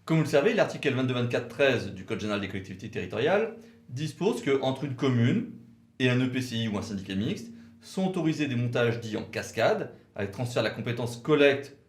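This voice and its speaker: A man giving a didactic, precise legal explanation in short, measured phrases. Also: mid-range voice, very animated, a little hiss or hum. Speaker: legal commentator for a law firm, co-presenter. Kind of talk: explanatory legal lecture, semi-scripted. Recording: roomy, echoing sound.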